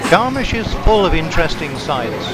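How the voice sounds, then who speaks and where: A man reading a scripted travelogue voice-over in a measured, descriptive storytelling way. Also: fairly high pitch, big pitch swings, over a noisy crowd. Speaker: travelogue narrator. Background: background music.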